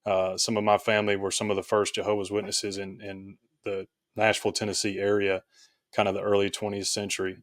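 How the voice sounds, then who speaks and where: A man from the United States speaking as a podcast guest, explaining things in a conversational, halting, reflective way. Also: on the low side, monotone, a bit bright, no room sound, clean studio sound. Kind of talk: conversational interview.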